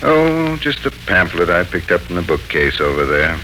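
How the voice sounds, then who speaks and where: A man playing a courteous, gently teasing uncle in a 1940s radio drama, with an easygoing, dryly playful manner. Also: sits low, very animated, moderate pace, exaggerated voice, rough recording. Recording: hissy 1940s radio recording.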